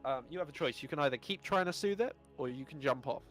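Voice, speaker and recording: A man's voice: a little high, fast talker. Male game master, laid-back, chuckling, filler words, faint music behind. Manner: relaxed, laughing, lots of filler uh and um. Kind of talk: narrating a tabletop game.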